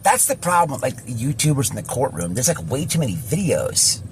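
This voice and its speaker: A man hosting casual, rambling online commentary, halting and repeating words, with slangy, sweary phrasing. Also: medium pitch, big pitch swings, slight background noise, low-quality recording. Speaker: YouTube commentary host.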